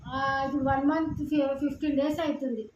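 A woman with a very high voice and a Telangana accent argues insistently, as if on a phone call. She repeats her demands in informal speech. The room around her is clearly echoey and the sound is a little dull. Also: fairly even intonation, fast talker, slight background noise. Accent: Telangana Telugu. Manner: insistent, repetitive, argumentative. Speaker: prank caller. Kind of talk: staged phone conversation, informal.

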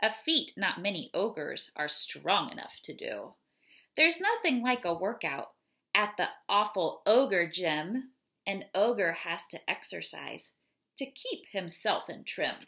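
A woman in her thirties reading children's poems aloud and chatting casually, in an unhurried, deliberate way. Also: mid-range voice, big pitch swings, sharp tone. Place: small home room, indoors. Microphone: webcam or laptop mic at desk distance.

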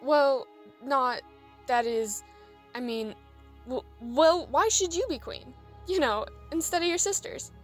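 A woman's voice: high voice, slow pace, soft background music. A woman playing an earnest, idealistic young female dragon in an audio drama, a little hesitant in manner. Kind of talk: fan audio drama acting.

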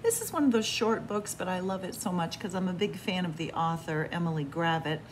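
A woman's voice: fairly low pitch, a little fast, poor audio. A woman reading a picture book aloud as a library story time reader, adding chatty, simple asides for young children. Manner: expressive, simple explanations for children. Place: small library room with bookshelves. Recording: light room background noise.

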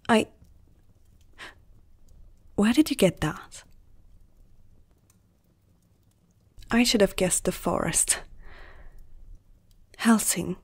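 Gentle female vampire, played by a woman, ASMR roleplay. Hushed, husky, unhurried, soothing, medium pitch. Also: full character voice. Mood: calm, pleading. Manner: soft-spoken, often whispering, slow and soothing. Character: gentle, protective female vampire comforting a human.

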